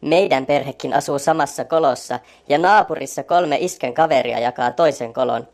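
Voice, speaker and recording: A woman's voice: lowish voice, very animated, darkish tone. A woman voicing an eager, friendly young male flying squirrel in a children's story, earnest and easily puzzled. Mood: indignant.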